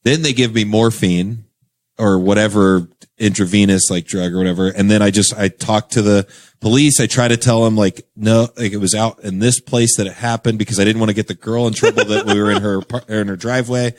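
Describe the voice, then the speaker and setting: A man's voice: lowish voice, steady tone, fairly quick. A young man telling a long story in a casual chat as a podcast guest, rambling with lots of fillers and laughing as he goes. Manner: rambling, filler-heavy, laughs through stories.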